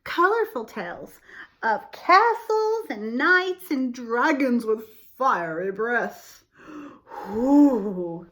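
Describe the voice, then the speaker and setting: A woman reading a picture book aloud to young children, pausing to explain things simply and ask questions. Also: a little high. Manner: expressive, asks the listener questions, explains simply. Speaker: storytime reader for young children.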